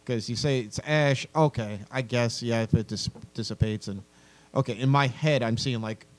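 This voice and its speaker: A man's voice: mid-range voice, normal speed. A man giving casual, blunt feedback on a story as a panelist, informal and given to swearing. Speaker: podcast panelist, critic. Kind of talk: casual critique discussion.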